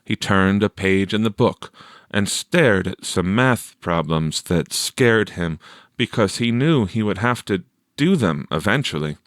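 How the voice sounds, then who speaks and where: Male audiobook reader: lowish pitch, very unhurried, measured scripted storytelling. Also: expressive, no echo, clean studio sound.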